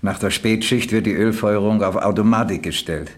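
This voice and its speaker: An actor playing a plain-spoken boiler stoker who reminisces in a folksy, reflective way in a radio crime drama. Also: male voice, lowish voice, normal speed, lightly acted. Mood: subdued shock. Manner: folksy, ruminative storytelling.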